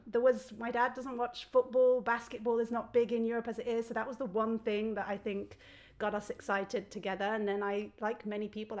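A woman from Belgium chatting casually as a podcast guest, with an animated, joking manner. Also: medium pitch. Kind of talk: casual conversational chat.

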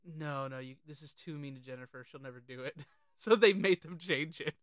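A man co-hosting a casual film discussion, sharing trivia in a chatty, halting way and often repeating his phrases. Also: fairly high pitch, a bit bright, no room sound, clean studio sound. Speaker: podcast co-host.

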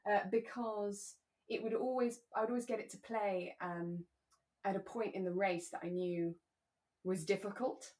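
Giggly woman co-hosting a relaxed podcast. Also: medium pitch, normal speed. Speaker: podcast co-host. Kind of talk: casual chat reviewing a radio drama.

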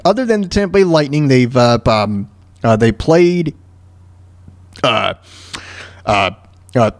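High-pitched, halting American man, animated talk host. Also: no room sound. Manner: halting, stammering, repeats words and phrases. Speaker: solo host of a talk show. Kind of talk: casual, rambling news and sports commentary.

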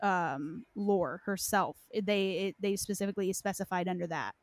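A woman's voice of average pitch, recorded very dry with no room sound. She talks informally as a podcast host, recounting stories in a casual, conversational way. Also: normal speed, no echo. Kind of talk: casual chat, recounting game lore.

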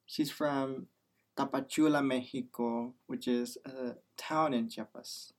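A man's voice: medium pitch, steady tone, takes it slowly. A nineteen-year-old man co-hosting a podcast, playful and teasing in casual chat. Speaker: podcast co-host, business student. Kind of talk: scripted intro, then casual chat.

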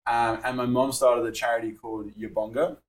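South African man, young, founder being interviewed, steady explainer, mid-pitch voice. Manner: explanatory, measured, with frequent fillers. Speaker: startup founder. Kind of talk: podcast interview.

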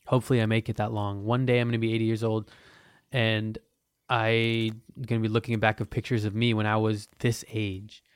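A man's voice: on the low side, little pitch movement, brisk, clean tone, dry recording, clean studio sound. A man in his twenties hosting a podcast, talking in a loose, profane, meandering way with lots of restarts. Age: 20s. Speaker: podcast host. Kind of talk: casual rambling monologue.

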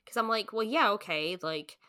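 A woman's voice: medium pitch, animated, moderate pace, bright, forceful tone, clean tone, no room sound, studio quality. A woman in her late twenties co-hosting a podcast, chatting casually and informally about books, peppering her talk with "like". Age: late 20s. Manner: informal, frequent "like" as filler, mild swearing. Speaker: podcast co-host. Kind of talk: casual book-club chat.

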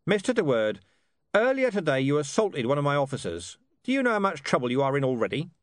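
A man voicing a gruff, stubborn police commander in an audiobook, sardonic and pressing. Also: a little high, huge pitch swings, fast talker, no echo, studio quality, pitched above the performer's own voice. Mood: threatening. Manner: sardonic, pressing, growling at times. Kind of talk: audiobook character dialogue.

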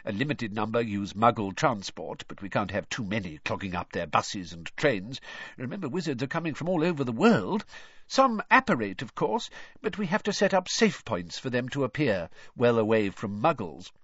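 A man voicing a cheerful middle-aged wizard father in an audiobook, explaining things in a brisk, eager, chatty way. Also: medium pitch, very animated, a bit bright, breathy edge, dry recording, muffled recording. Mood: eager, informative.

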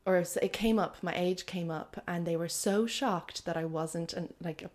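A woman's voice: on the low side, moderate pace, darkish tone. A woman in her twenties with an Irish accent, chatting casually and telling stories animatedly as a language assistant on a podcast. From Ireland. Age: 20s.

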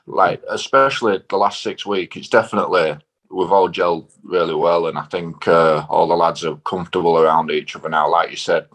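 Deep-voiced young Northern English man. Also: a bit bright. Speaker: professional footballer, centre back, guest. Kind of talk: casual interview answers.

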